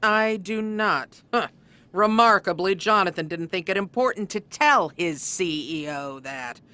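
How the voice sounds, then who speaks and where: Stern CEO, bright forceful voice, lowish pitch, condescending, formal, office comedy. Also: female voice, expressive, a little slow. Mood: formal announcing. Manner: crisp, commanding, formal. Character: stern, condescending, blunt female chief executive. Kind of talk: scripted workplace comedy acting.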